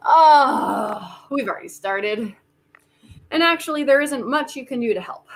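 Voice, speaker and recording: A woman actor in a staged play reading, playing a wry, determined inventor with a deliberate, halting delivery. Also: sits high, very animated, vocal fry, heavily acted. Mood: gentle insistence. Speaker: actor in a community theater reading.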